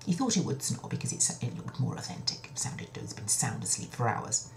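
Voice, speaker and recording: A woman telling a children's story in an expressive, dramatic way, with breathy dips toward a whisper and a chatty, confiding touch. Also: extremely low pitch, fairly quick. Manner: chatty asides to the listener. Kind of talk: children's story narration, told in own words.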